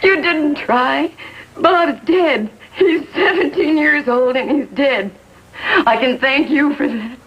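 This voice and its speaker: A woman's voice: high-pitched, slow pace. A woman playing a bitter, grief-stricken American mother in a 1950s TV drama, speaking in anguished, accusing tones. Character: bitter, grief-stricken mother blaming police for son's death. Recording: dull vintage TV soundtrack.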